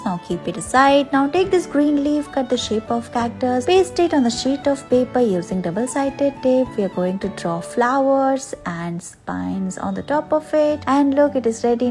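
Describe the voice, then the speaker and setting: A woman's voice: fairly high pitch, a little mellow. A woman giving simple step-by-step craft instructions in short phrases as a tutorial voice-over. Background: background music.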